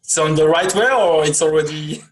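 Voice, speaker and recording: Guy in his 30s hosting a podcast chat, voice a bit high, kinda halting delivery. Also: poor audio. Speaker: podcast host. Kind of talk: conversational interview. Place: small indoor room, video call.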